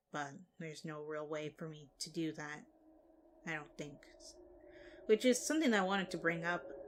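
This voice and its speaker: A woman's voice: sits low, a little hiss or hum. A woman hosting a reaction video with casual, chatty commentary.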